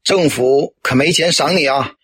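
An actor playing a stern, scolding police officer in a radio drama. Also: male voice, on the high side, moderate pace, a bit bright, husky. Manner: brusque, scolding.

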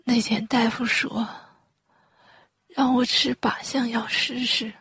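Sick mother in pain, very breathy, slightly high voice, plaintive, radio drama. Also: little pitch movement, fairly bright tone, dry recording, full character voice. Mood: pained, weary. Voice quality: weak, breathy. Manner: slow, sighing, plaintive. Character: ailing, weary mother in pain, bedridden. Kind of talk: Chinese radio drama acting.

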